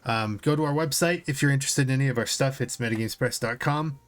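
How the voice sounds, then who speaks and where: A man's voice: mid-range voice, fast. A man hosting a podcast, talking casually about tabletop games. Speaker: podcast host.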